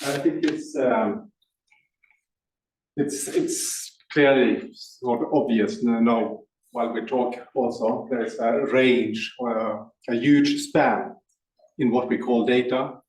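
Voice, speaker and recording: A man in a working group discussion, speaking in a measured, halting way with frequent pauses. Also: medium pitch, darkish tone. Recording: echoey room, distant mic.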